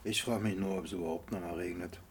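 Plain-spoken doubtful farmhand, played by an actor. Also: male voice, low-pitched, fairly even intonation, fast talker, a little hiss or hum, natural voice. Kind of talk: naturalistic radio play dialogue.

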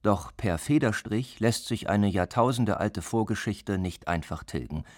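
A man reading a scripted report as a reporter, even and measured. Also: on the low side, no room sound, studio quality. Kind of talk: scripted narration.

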